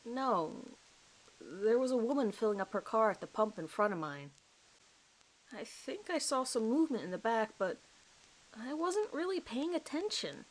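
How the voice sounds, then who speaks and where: A woman's voice: a little high, big pitch swings, normal speed, rough recording. A woman voicing a nervous man in his thirties, a suspect giving a hesitant, rambling, apologetic account in an audio horror story. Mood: defensive, unsure. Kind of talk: dramatized police interview recording.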